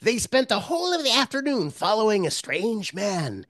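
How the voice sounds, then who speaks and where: An actor playing a jovial male busybody in a radio play, boastful and eager to please. His voice is high for a man and bounces around with lively swoops. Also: moderate pace, no echo. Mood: proud, chatty. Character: chatty, eager party-loyal neighbour and proud father. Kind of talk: audio drama acting.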